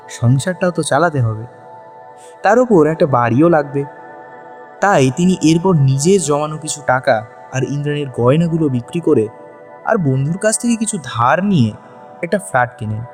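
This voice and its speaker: Higher-voiced male story narrator. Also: darkish tone. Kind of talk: dramatic scripted story narration.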